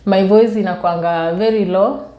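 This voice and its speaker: A soft-spoken woman with a Kenyan accent chats casually to her viewers, telling personal stories in a self-amused way. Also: medium pitch, steady tone, normal speed, echoey room. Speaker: YouTube vlogger. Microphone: newly bought external microphone.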